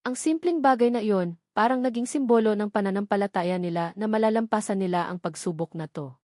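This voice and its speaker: A woman reads a romance audiobook in a dramatic storytelling style. Her voice sits at a medium pitch. The sound is close and dry, with no room around it. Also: a little fast, mellow, no echo. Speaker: audiobook narrator.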